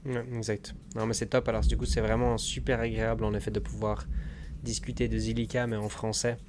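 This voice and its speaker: A man's voice: fairly low pitch, little pitch movement, fairly quick, noisy background. A man interviewing over a video call, chatty and enthusiastic, with frequent hesitations. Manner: enthusiastic, filler-heavy, with many "euh". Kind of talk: casual interview chat. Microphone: webcam video call.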